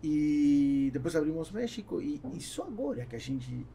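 Mellow, gravelly South American man, Spanish accent. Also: fairly high pitch, sing-song, normal speed, slight background noise. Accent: Spanish-speaking South American. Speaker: guest, international entrepreneur. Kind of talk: casual interview talk. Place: small studio with acoustic foam, indoors. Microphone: podcast microphone on boom arm.

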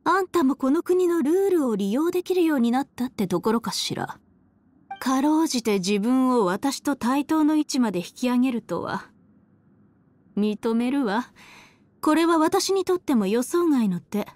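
A woman playing a haughty, cruel, elegant villainess in a Japanese anime game, with a condescending, mocking, drawn-out aristocratic delivery. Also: high voice, animated, a little mellow, no echo. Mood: coolly menacing. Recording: studio voice over game music.

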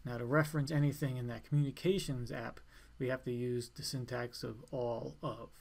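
A man giving an informal step-by-step software tutorial in a plain, instructional way. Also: mid-range voice, steady tone, moderate pace, creaky. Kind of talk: step-by-step screencast walkthrough, informal.

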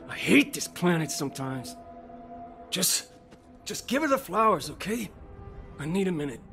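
A man playing a weary, blunt but soft-hearted gunslinger in a video game, speaking in a subdued way. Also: high-pitched, big pitch swings, normal speed, vocal fry, faint music behind, full character voice. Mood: grieving. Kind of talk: video game character acting.

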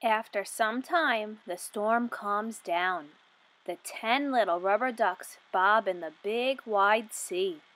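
A woman in her twenties reading a picture book aloud for young children, asking questions and enunciating deliberately. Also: medium pitch, a bit bright, a little hiss or hum. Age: 20s.

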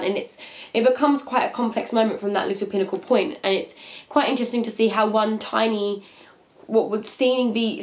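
A woman's voice: medium pitch, highs cut off. A young woman in her twenties with a sniffly cold, rambling casually through a book review in her living room. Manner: rambling, chatty, many fillers and restarts. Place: living room by a window seat, indoors.

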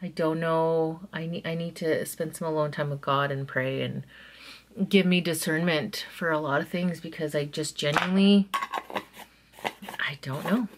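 A woman in her thirties chats to a camera close in front of her as she does her makeup in a small bedroom at home. She talks eagerly and keeps drifting into soft, quiet asides. Her voice sits a little low for a woman. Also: normal speed, a little hiss or hum. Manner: chatty and excitable, trailing into soft asides. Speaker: vlogger. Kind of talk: casual chat while doing makeup.

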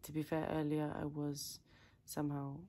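A woman softly improvising an ASMR personal attention role play as a tailor, chatting in a rambling, chaotic way close to the microphone. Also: deep voice, monotone, moderate pace. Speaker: ASMR creator playing a tailor.

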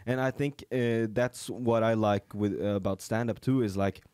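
A man's voice: lowish voice, fairly even intonation, moderate pace, a little mellow, clear, resonant tone, no echo, studio quality. A young man from rural Norway, a native Norwegian speaker, talking in a casual podcast chat with many fillers and restarts. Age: young adult. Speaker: podcast guest, comedian. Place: small studio room. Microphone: studio mic on boom arm, headphones.

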